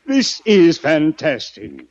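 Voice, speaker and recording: A husky, rather high-voiced villainous doctor in vintage radio melodrama. He sounds intense and cornered, halting and then pushing hard, with a wide-swinging pitch. The recording is old and dull. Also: dry recording, heavily acted. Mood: indignant. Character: cornered murderous male doctor, indignant and desperate. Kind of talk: old-time radio crime drama acting.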